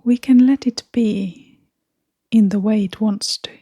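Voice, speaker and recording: A woman leading a scripted guided meditation in a soft, breathy, near-whispering voice, gentle and soothing, with long pauses. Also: medium pitch, steady tone, dry recording, studio quality.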